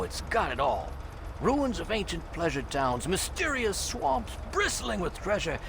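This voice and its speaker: Salty old boat captain for a game, gravelly, sly folksy sales pitch, really swoopy, noisy outdoor sound behind. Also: male voice, exaggerated voice. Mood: nostalgic, enticing. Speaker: ferry captain. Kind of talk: video game character dialogue.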